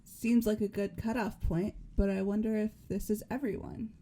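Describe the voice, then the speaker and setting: Mellow woman reading game dialogue. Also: mid-range voice, flat delivery, moderate pace, a little hiss or hum. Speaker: friend reading parts in a game playthrough.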